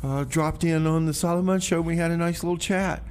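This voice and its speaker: A man's voice: a little high, fairly even intonation, fairly quick, darkish tone, a little hiss or hum. A man hosting a community radio music show, talking casually like a DJ with wry, offhand asides. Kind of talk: casual radio DJ talk, announcing songs and local events.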